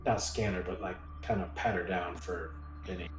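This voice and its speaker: A man playing a tabletop game in casual banter, speaking haltingly and repeating his words. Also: low voice, steady tone, soft background music. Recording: roomy, echoing sound.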